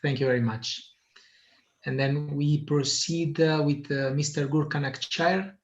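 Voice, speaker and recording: A man's voice: a little high, steady tone, unhurried. A man hosting an online meeting, hesitant, with many filler sounds. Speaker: meeting host. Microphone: video call. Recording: muffled lo-fi video call audio.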